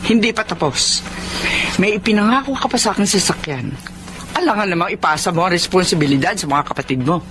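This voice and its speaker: A woman's voice: lowish voice, expressive, brisk, fairly bright tone. A woman playing a stern, demanding middle-aged mother in a Filipino drama, curt and reproachful, with a Filipino accent. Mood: angry, reproachful. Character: stern, demanding mother guarding her son's support. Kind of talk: Filipino broadcast drama acting. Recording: clearly noisy, background ambience.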